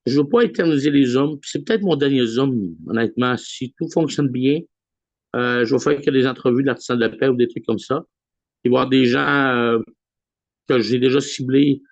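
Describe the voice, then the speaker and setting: A man with a Québécois accent hosting a weekly video call in a relaxed way, with many pauses and filler sounds. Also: mid-range voice, no echo. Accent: Québécois French. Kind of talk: casual live address on a video call. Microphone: computer mic on a video call. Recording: dull lo-fi video call audio.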